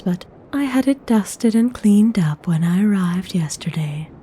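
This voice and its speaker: A woman playing a courteous, commanding vampire noblewoman in an ASMR roleplay, speaking in a soft, mostly whispered voice with genteel, formal phrasing. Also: mid-range voice, slight background noise. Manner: genteel, unhurried, formal wording.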